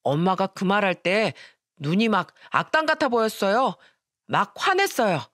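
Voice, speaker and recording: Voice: mid-range voice, very animated, moderate pace, fairly bright tone, dry recording, clean studio sound. A narrator doing a chatty seven-year-old boy. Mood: innocent, chatty. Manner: childlike, innocent telling.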